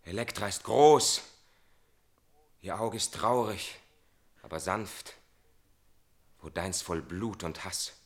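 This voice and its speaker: An actor playing a grave, secretive avenging brother in a German radio tragedy, speaking solemnly and urgently in standard stage German. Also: male voice, low voice, takes it slowly, pauses often. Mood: grave, measured. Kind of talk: theatrical German radio play tragedy acting.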